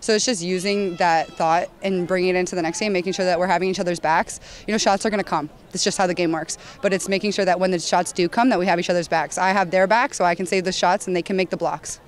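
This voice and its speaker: A young woman goalkeeper talking quickly and eagerly in a post-game interview, outdoors at a stadium at night into a handheld mic. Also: on the low side, fairly even intonation. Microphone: handheld mic held at chin.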